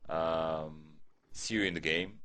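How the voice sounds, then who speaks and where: A man's voice: a bass voice, fairly even intonation, slow, sharp tone. A man from Croatia with a Croatian accent, English not his first language, talking through a game tutorial with many fillers and restarts. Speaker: gaming tutorial creator.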